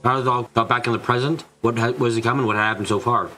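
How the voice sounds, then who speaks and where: A man's voice: medium pitch, fairly even intonation, fast, sharp tone, faint music behind. A man reading scripted visual novel narration as the storyteller.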